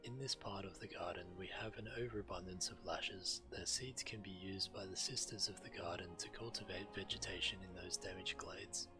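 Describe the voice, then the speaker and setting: A man's voice: deep voice, fairly even intonation, moderate pace, few pauses. A man streaming a video game, reading the quest text aloud. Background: game music.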